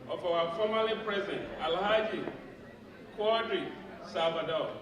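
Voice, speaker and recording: A man with a high voice delivers a ceremonious speech at a gala, measured and formal. The sound is very echoey and captured from far off in a big hall. A crowd of guests murmurs behind him. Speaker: association president. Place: large event clubhouse hall. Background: audience crowd.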